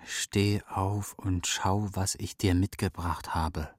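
An actor playing a gruff old grandfather in a radio play, with a husky, breathy voice and weak, halting speech. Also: low voice, steady tone, no room sound, clean studio sound. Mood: urgent, weak. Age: older. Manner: weak, laboured, halting delivery. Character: gruff, wounded old Mongolian herdsman grandfather.

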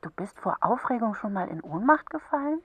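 A woman acting in a children's radio play as a patient, caring helpline counsellor who questions gently and attentively. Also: a little high, very animated, brisk, breathy edge, no echo. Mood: gentle.

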